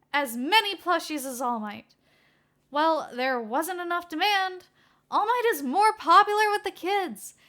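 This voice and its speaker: A woman acts out an exasperated young-sounding sidekick in a fan fiction audio story. She is loud-mouthed and exclamatory, pitched well up high with a bright, forceful edge. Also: heavily acted. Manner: groaning, exclamatory complaining. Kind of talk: acted fan fiction dialogue.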